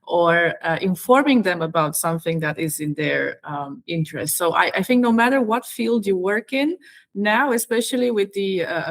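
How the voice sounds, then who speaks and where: A woman from Romania, answering as a podcast guest in a measured, explanatory way with pauses between phrases. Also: mid-range voice. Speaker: podcast guest, regional government EU funding professional. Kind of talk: conversational interview answers.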